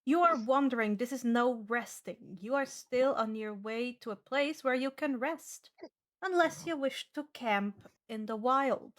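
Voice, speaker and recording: A woman's voice: a little high, unhurried. A woman running a tabletop role-playing game as game master, narrating and explaining to the players.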